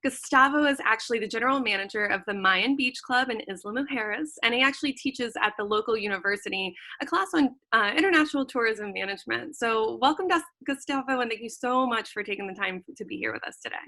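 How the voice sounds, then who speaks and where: A woman's voice: a little high, fast, hardly any pauses, sharp tone. A woman hosting a travel show interview over a video call, upbeat and eager.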